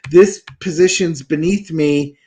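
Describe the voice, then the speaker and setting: High-voiced man musing through a tarot reading. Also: a little slow, mellow. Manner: musing, thinks aloud with many fillers and restarts. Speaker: tarot reader. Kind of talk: casual tarot reading chat.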